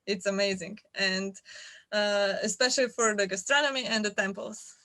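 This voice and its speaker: A young woman from Slovenia, a guest athlete chatting in an interview, hesitant with many fillers and quick to laugh. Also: medium pitch, fairly even intonation, fairly bright tone, a little hiss or hum. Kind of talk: casual interview chat.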